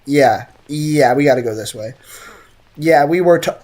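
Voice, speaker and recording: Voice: medium pitch, unhurried, a little mellow. A game streamer giving casual livestream commentary in a joking, slangy way.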